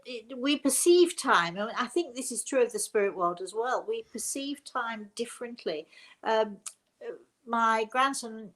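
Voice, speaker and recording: An older woman hosting a casual live stream, talking in a halting way and often repeating words as she goes. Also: a little high. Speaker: host. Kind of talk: casual live-stream talk answering viewer comments.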